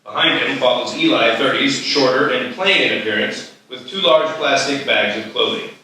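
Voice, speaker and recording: A man's voice: medium pitch, normal speed, sharp tone, a little hiss or hum. A man reading stage directions as scripted narration at a live screenplay table read. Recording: very echoey hall, distant mic.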